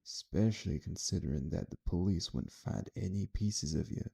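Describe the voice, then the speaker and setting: A man's voice: deep voice, moderate pace, creaky, warm tone, slightly rough, exaggerated voice. A man playing a cocky, flirtatious, protective gunslinger in an audio roleplay, teasing as he threatens.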